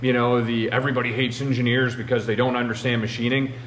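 A man's voice: mid-range voice, flat delivery, fast talker, fairly bright tone. A man in his thirties explains shop matters in a loose, conversational way, dropping "you know" often. He speaks straight to a camera across a large machine shop. The sound is roomy with a faint hum underneath. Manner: conversational, uses many "you know" fillers. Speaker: machinist show host. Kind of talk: casual explanatory talk to camera.

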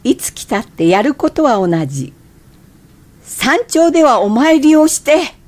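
An actress playing an irritable middle-aged woman hiker who narrates her thoughts in expressive radio drama style. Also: high-pitched, a little slow, a little hiss or hum. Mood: reflective. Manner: expressive, theatrical inner monologue.

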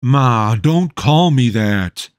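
A man's voice: medium pitch, lively intonation, extremely slow, no echo, studio quality, pitched above the performer's own voice. A man voicing a large young man who whines and sounds put-on innocent. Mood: whining. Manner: whiny, innocent.

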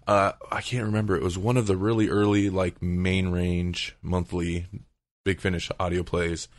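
Low-pitched man chatting casually on a podcast. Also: level tone, moderate pace, no echo. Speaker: podcast host.

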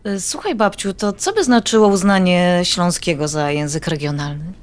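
An actress playing a curious, wry grandchild in audio drama dialogue. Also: female voice, fairly low pitch, animated, normal speed, over music, natural voice.

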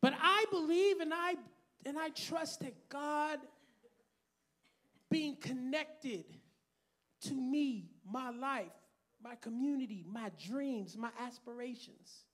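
High-pitched preacher swinging from hush to shout. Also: male voice, slow. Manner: preaching with a rising call, swinging from hushed to shouting. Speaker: pastor. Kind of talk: sermon. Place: small church hall with string lights. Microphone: handheld microphone.

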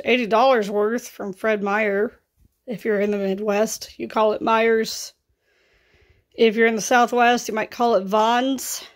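A woman in her thirties with a scratchy, raspy voice and vocal fry, chatting casually through a grocery haul in her kitchen. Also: on the high side. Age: 30s. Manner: chatty and offhand, rising into exclaimed asides. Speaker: vlogger. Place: home kitchen, indoors.

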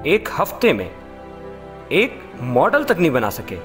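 A man's voice: fairly high pitch, huge pitch swings, measured pace, fairly bright tone, faint music behind, full character voice. An actor playing a curt, demanding young male boss in an audio drama. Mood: stern. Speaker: office boss. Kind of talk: Urdu audio drama acting.

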